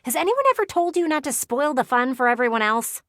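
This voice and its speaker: A woman's voice: sits high, animated, brisk, a bit bright, no room sound, clean studio sound. A woman voicing a nosy, sassy talking window in a video game, chatty and theatrical like a gossiping neighbor. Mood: playful, excited. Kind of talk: video game character voice acting.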